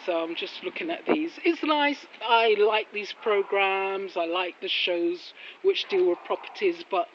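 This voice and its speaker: A woman's voice: medium pitch, a little slow, hardly any pauses. A woman in a casual walking vlog with devotional reflections, rambling and full of admiring exclamations. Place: outdoors on a residential street after rain. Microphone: handheld phone while walking. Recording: muffled, noisy outdoor street recording with traffic.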